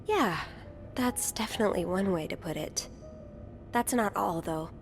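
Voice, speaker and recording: An actress playing a young hotel maid turned amateur sleuth, speaking gently and hesitantly, stammering now and then. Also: female voice, mid-range voice, animated, unhurried, soft background music.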